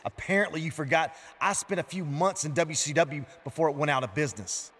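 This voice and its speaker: A touchy veteran male wrestler in a video game cutscene. The voice is slightly high and sarcastic, and it rings with long arena reverb. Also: brisk, a bit bright.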